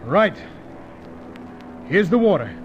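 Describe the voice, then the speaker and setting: An actor playing a gruff, cagey American pilot with blunt, slangy talk in an old-time radio serial. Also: male voice, high voice, big pitch swings, takes it slowly, full character voice. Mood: tense, focused. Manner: slangy, blunt, grudging tough-guy talk. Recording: dull, faintly noisy old radio recording.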